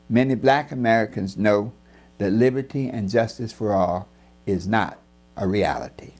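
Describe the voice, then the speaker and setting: Guy reading his own book out loud, slightly high voice, serious n emphatic, takes it slow and deliberate. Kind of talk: scripted narration, audiobook reading.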